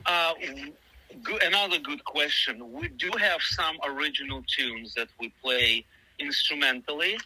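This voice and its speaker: Bright-voiced man with a Russian first language. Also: on the high side, unhurried, poor audio. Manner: thoughtful, rambling, many fillers and restarts. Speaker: musician guest. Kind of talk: casual interview answers.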